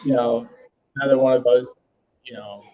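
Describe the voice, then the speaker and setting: A man in his thirties, a chef guest, talking casually and relaxed with many fillers. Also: medium pitch, normal speed. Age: 30s. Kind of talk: casual interview chat. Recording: muffled lo-fi audio.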